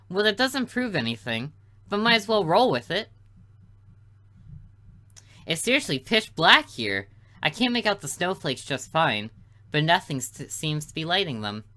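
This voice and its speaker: A woman's voice: fairly low pitch, sharp tone. A woman reading a visual novel aloud as a let's player, mixing narration with casual commentary. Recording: music behind the voice. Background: game music.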